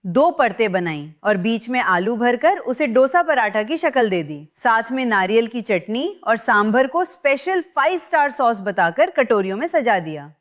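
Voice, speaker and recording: A woman tells a scripted family story with high, clear narration. Her delivery stays even and expressive throughout.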